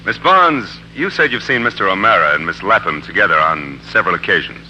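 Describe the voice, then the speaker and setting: An actor playing a pressing, sarcastic courtroom lawyer in a 1940s radio drama, formal and insinuating. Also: male voice, deep voice, lively intonation, fairly quick, pushed and bright, faint music behind, heavily acted. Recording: narrow-band old radio broadcast with hiss.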